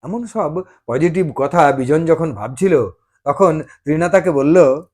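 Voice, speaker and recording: A man's voice: a little high, animated, slow pace, darkish tone. A man narrating a love story as a dramatised audiobook reading, telling it expressively.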